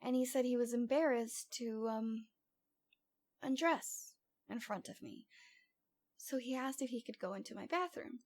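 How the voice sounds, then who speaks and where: A woman's voice: on the high side, unhurried, pauses often, a little mellow, close to an everyday voice. A woman playing a cute, lovesick young stalker girl in an ASMR roleplay, with a coy, pouty, dramatic delivery. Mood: hurt, wistful.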